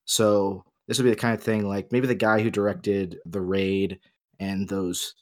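A man's voice: fairly low pitch, flat delivery, measured pace. A man co-hosting a casual discussion, explaining his opinions emphatically. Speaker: podcast co-host.

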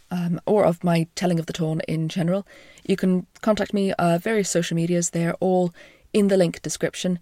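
A woman with a croaky voice from a chest infection, hosting a podcast and retelling an old myth in a slow, hushed, half-whispered way. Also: lowish voice, no room sound, clean studio sound. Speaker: podcast host and storyteller.

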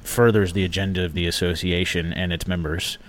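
A man with a very deep voice talks through his views in a casual panel discussion. He speaks in a measured way, with plenty of "you know" and "uh" as he builds his points. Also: fairly even intonation, slight background noise. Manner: measured, many fillers. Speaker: panel guest, association board member.

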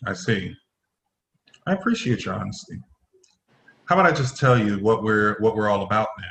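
A man playing a stern, formal middle-aged company executive in a play reading, halting and stumbling over his phrases. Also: on the low side, big pitch swings, fairly bright tone. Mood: formal, guarded. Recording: roomy echoing voice.

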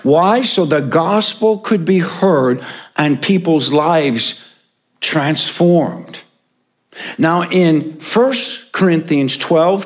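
A man preaching in church with a lively, rising-and-falling voice. He talks slowly and deliberately, stressing and repeating each point for the congregation. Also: on the high side. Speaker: pastor. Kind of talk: sermon.

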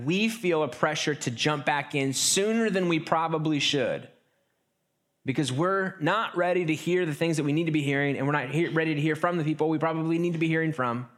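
A man preaching a sermon in a relaxed, conversational way, often restarting phrases and slipping into quick acted asides. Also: fairly high pitch. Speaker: pastor.

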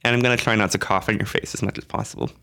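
Hoarse man with a low voice. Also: rapid-fire. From Canada. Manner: relaxed, thoughtful, many "um"s. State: hoarse, getting over a flu. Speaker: podcast co-host. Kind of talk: casual chat. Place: dark basement room.

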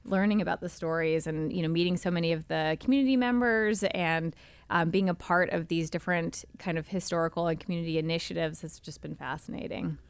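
A woman's voice: lowish voice, fairly even intonation, a little fast, clean tone, dry recording. A woman in her thirties from North Carolina, chatting as a podcast guest with many ums and restarts. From Charlotte, North Carolina. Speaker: guest, executive director of a history group. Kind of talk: casual podcast chat.

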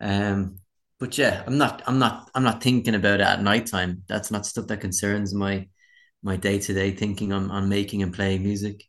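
A man's voice: lowish voice, level tone, moderate pace, a bit bright. An Irish man speaking as a musician in a casual interview, candid and reflective. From Ireland.

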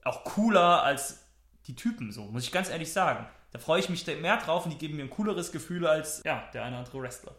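A man's voice: a little high, lively intonation, fast, fairly bright tone. Man, casual podcast chat, enthusiastic, rambling, echoey room. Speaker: podcast co-host.

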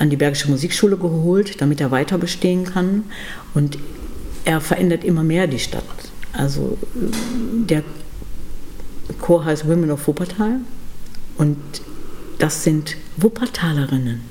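A middle-aged woman musician being interviewed, talking thoughtfully with many pauses and fillers. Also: low voice, darkish tone, a little hiss or hum. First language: German. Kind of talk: casual interview conversation.

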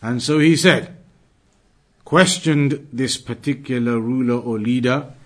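A man's voice: medium pitch, unhurried. A man giving a religious class lecture as a teacher, speaking emphatically with repetition and rhetorical questions. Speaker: Islamic studies teacher.

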